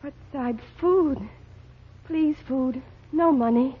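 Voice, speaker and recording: A woman's voice: high-pitched, slow pace. An actress playing a starving, desperate Indian beggar woman, pleading in short broken phrases in a 1940s radio drama. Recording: muffled, phone-like old radio recording.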